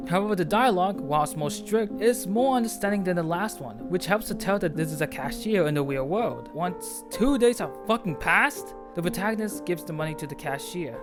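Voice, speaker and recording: A man's voice: high voice, lively intonation, normal speed, few pauses. A man narrating a scripted video essay with casual asides, speaking as the essayist. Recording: background music with guitar. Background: music, guitar.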